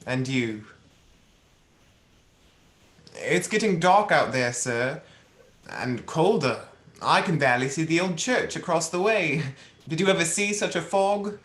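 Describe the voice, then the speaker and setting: A man's voice: on the high side, expressive, measured pace, fairly bright tone. A man acting in a radio play as a meek, kindly clerk, polite and deferential, in a roomy, echoing recording. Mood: timid, kindly.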